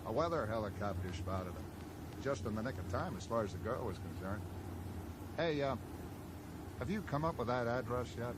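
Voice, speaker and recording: A man's voice: mid-range voice, animated, normal speed, pauses often, close to an everyday voice. A man playing a blunt, probing small-town American sheriff in a radio drama, speaking in a folksy, matter-of-fact way. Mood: probing, suspicious. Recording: noisy 1980s radio drama broadcast.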